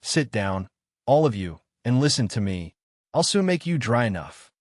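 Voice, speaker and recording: Storybook mouse, played by a male narrator: touchy, passionate, full of self-importance, with swooping pitch. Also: big pitch swings, normal speed, dry recording, clean studio sound, natural voice. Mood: pompous. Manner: passionate, pompous.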